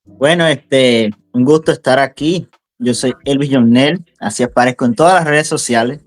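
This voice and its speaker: A man's voice: mid-range voice, moderate pace, faint music behind. A young man from central Venezuela with a Venezuelan accent, talking casually as an interview guest and repeating words for emphasis. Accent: Venezuelan Spanish.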